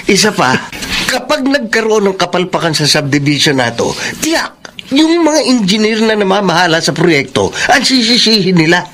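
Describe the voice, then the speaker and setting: Animated, scheming Filipino laborer. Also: male voice, sits high, normal speed, slight background noise, heavily acted. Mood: sly, scheming. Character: scheming poor male laborer, fast-talking, worn down. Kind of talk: Filipino radio drama acting.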